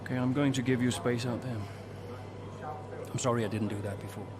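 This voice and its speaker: An actor playing a veteran racing driver in a video game, middle-aged, sincere and guarded, measured and plain-spoken. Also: male voice, mid-range voice, fairly even intonation, noisy background, lightly acted. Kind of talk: naturalistic video game cutscene acting.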